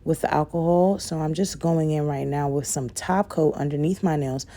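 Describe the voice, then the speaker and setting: A young woman talks through her nail work in a loose, rambling way, sprinkling in "like" and "guys." Her voice sits low and mellow. It carries a slight rasp from a cold. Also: moderate pace. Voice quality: raspy. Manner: rambling, says "like" and "guys" a lot. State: raspy from a cold. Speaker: nail vlogger. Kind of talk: casual chatty tutorial voice-over.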